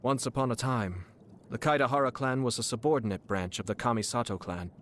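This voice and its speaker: A man voicing a composed, subtly sly young noble clan head in a video game, speaking in a measured, formal, polite way. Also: mid-range voice, a bit bright, a little hiss or hum, lightly acted. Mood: measured, diplomatic. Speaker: game character voice. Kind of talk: scripted video game character monologue. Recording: studio voice with music behind. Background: game music.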